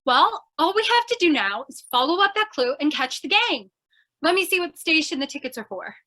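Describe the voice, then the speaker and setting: Actress doing a ditzy eager lady reporter for old time radio mystery, swoopy high voice, flustered. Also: big pitch swings, brisk, pushed and bright, heavily acted. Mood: indignant, insistent. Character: plucky, scatterbrained young woman newspaper reporter. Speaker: voice actor.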